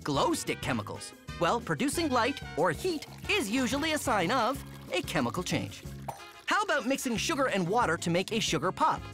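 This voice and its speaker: A man's voice: extremely high pitch, huge pitch swings, moderate pace, over music. A middle-aged man hosting a children's science show with upbeat scripted narration.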